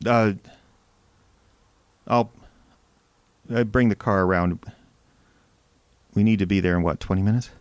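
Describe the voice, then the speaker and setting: A man's voice: lowish voice, unhurried, pauses often, dry recording, close to an everyday voice. A man playing a callous, domineering husband in an audio drama, with an irritable manner. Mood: subdued, uneasy. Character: menacing, abusive husband, gruff and callous. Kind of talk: naturalistic audio drama acting.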